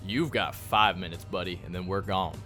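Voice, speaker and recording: Wary squad teammate played by a man: slightly low, blunt, everyday delivery, light music beneath. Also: moderate pace, pushed and bright. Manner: blunt, casual. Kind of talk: radio play acting.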